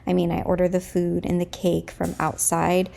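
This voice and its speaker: Woman, 30s, home vlogger. Casual, open chat to camera. Slightly stuffed up, with a rough, creaky edge to the voice. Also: lowish voice, monotone, moderate pace, dark tone. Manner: candid and chatty, thinking aloud.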